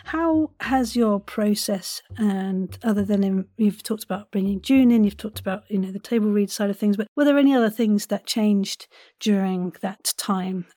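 A woman's voice: mid-range voice, moderate pace, mellow, no room sound, clean studio sound. A woman hosting a casual interview in an effusive, playful, chatty way. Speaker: podcast host.

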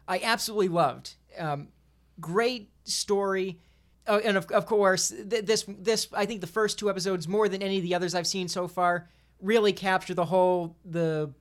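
Male podcast guest with a fairly high voice, informal, academic enthusiast in casual conversation. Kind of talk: casual chat.

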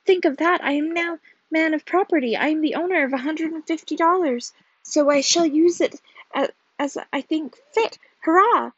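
A woman's voice: high-pitched, expressive, normal speed, no echo, highs cut off. A woman voicing a sulky, boastful, bad-tempered young man in a storybook, with a snarling, mocking manner. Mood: triumphant, boastful.

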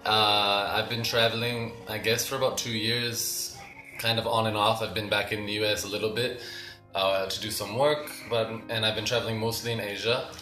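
A young man from Ohio speaks in a low but bright, forward voice as a podcast guest. He is easygoing and explanatory and talks quickly in bursts. The room is echoey, with faint music behind him. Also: level tone. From Ohio, United States. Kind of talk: casual interview.

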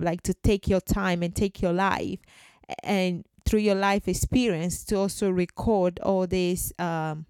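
Smooth-voiced woman, earnest and chatty. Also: mid-range voice, a little mellow, no echo. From Ghana. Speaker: podcast guest. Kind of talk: podcast conversation.

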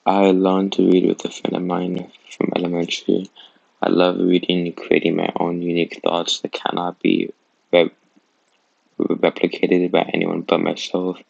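A teenage boy reads his reflection with very little movement in his voice, stumbling slightly now and then. His voice is deep and heavily gravelly. The recording is dull and dry. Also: monotone, moderate pace, a little mellow, no echo. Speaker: student.

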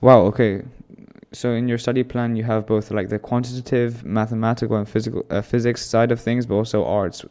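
A man's voice: mid-range voice, little pitch movement, brisk, darkish tone, soft background music. A teenage boy hosting a student podcast, asking questions casually with many uhs. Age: teens. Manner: friendly, filler-heavy questioning.

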